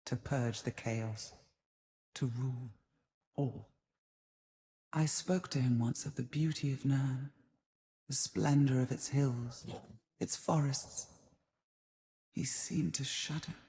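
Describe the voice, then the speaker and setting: An actress playing an ancient spider spirit in a video game, a cold, seductive seer who speaks in portentous, hushed monologue that often sinks toward a whisper. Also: female voice. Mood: brooding. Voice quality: low, hushed, often sinking to breathy whisper. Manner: slow, brooding, portentous, measured. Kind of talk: dramatic scripted game monologue.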